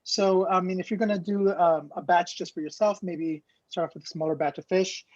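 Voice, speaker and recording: A man's voice: sits high, fairly even intonation, fairly quick, darkish tone, dry recording. A man who grew up in Ecuador, teaching an online cooking class in a loose, rambling way full of fillers over a video call. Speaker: cooking instructor. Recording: dull, lo-fi call audio.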